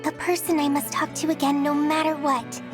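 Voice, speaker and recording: A mysterious, soft-hearted wandering girl, played by a woman in a video game cutscene. Her voice is high and wistful, with clear music underneath. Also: level tone, a little fast, close to an everyday voice. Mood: guarded, wistful.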